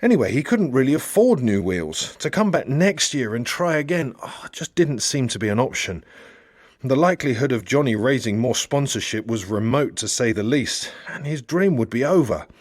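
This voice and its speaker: A male audiobook narrator delivers scripted fiction with dramatic expression. His medium-pitched voice moves widely up and down, and the sound is dry, free of room echo. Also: very animated, moderate pace. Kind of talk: scripted narration of a satirical novel.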